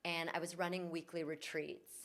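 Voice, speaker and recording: A woman's voice: on the low side, little pitch movement, normal speed, fairly bright tone. A woman guest, a yoga teacher and vocal coach, telling her story in an earnest, reflective way during an interview. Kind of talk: conversational interview. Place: in Bali.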